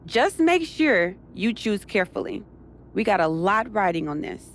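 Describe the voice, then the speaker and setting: A woman's voice: mid-range voice, big pitch swings, normal speed, a little hiss or hum, close to an everyday voice. A woman playing a confident, determined pro wrestler in a video game cutscene. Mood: determined, indignant. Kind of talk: scripted game cutscene dialogue.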